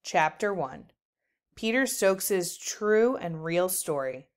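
A woman's voice: fairly low pitch, lively intonation, slow. A woman narrating a children's book aloud as a storyteller.

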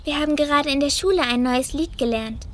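An actress playing a gentle, shy, dreamy young girl in a fairy tale audio drama, speaking sweetly. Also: high-pitched, fairly quick, slight background noise.

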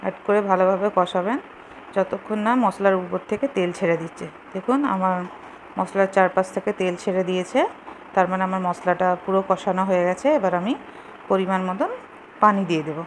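Female home cook for a recipe video, medium-pitched, simple instructional delivery, casual, recorded with light kitchen noise. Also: little pitch movement, brisk, rough recording. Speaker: home cooking show host. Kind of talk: casual step-by-step recipe narration.

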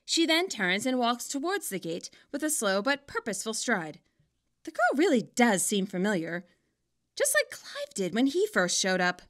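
A woman narrating a scripted story in an expressive, wryly dramatic way. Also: a little high, moderate pace. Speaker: author narrating own fan fiction.

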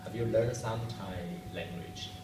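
A man's voice: fairly low pitch, measured pace. A young man interviewing a guest from a sheet of questions, polite and tentative, sitting in a school corridor. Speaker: student interviewer. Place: school corridor with plastic chairs. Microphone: far camera. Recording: echoey hallway from far off, noisy.

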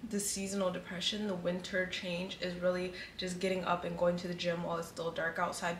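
A young woman vlogging at home, chatting casually and directly to her viewers with a relaxed, talkative manner, recorded on a camera set a few feet away. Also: fairly low pitch, roomy sound, slight background noise. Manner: chatty, relaxed, speaks straight to viewers with filler "like". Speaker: lifestyle vlogger. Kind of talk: casual vlog chat to camera. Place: indoors at home, large room.

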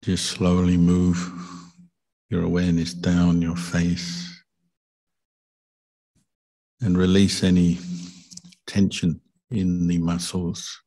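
Very deep, slow, gravelly male meditation guide. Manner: calm, deliberate, gentle instruction with long pauses. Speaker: Buddhist monk meditation teacher.

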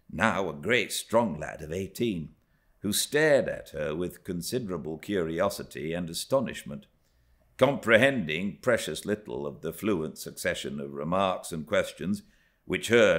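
A middle-aged British man reading a classic novel aloud as an expressive narrator. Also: deep voice. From Britain. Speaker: audiobook narrator. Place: armchair by a fireplace in a living room.

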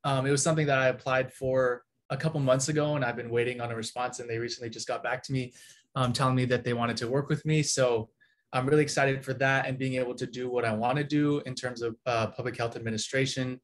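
A young man from California speaks earnestly and steadily on a video call. His pitch is ordinary for a man. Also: level tone, fast. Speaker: orientation leader. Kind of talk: casual panel talk.

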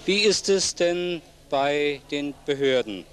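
A man's voice: on the high side, animated, takes it slowly, fairly bright tone. A middle-aged man hosting a local TV interview, speaking politely and formally into a handheld microphone. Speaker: host interviewing a guest. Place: seated among plants in a senior citizens' centre. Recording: noisy old TV video sound.

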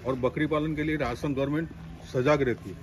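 A man's voice: on the high side, steady tone, quick pace, a little hiss or hum. A man from Rajasthan answering casually as a goat trader, repeating words and phrases for emphasis. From Rajasthan, India. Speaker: goat farm trader giving advice.

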